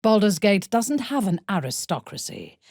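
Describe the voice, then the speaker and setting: A woman playing a haughty, menacing dark elf warrior commander in a video game, with a husky voice and a cold, imperious, deliberate delivery. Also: mid-range voice, wildly swooping pitch, dry recording, studio quality. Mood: scornful. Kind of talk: dramatic video game voice acting.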